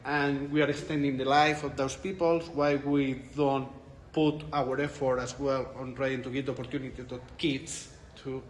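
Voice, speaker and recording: A man's voice: mid-range voice, flat delivery, normal speed, hardly any pauses, slight background noise. A man in his forties from Spain, speaking English with a Spanish accent, answering questions in a conference interview in a thoughtful way, often restarting his phrases. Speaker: interviewed researcher. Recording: echoey room with light ambience.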